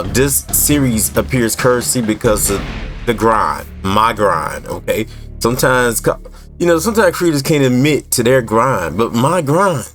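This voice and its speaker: A man hosting a gaming video with casual, conversational walkthrough commentary, repeating points for emphasis. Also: mid-range voice. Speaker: gaming YouTuber, host.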